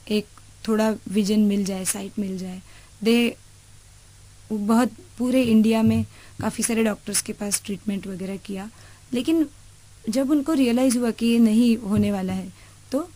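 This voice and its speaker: A woman's voice: fairly high pitch, warm tone, rough recording. An Indian woman answering interview questions reflectively, switching between two languages as she speaks. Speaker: interview guest, teacher. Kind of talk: reflective interview answers mixing Hindi and English.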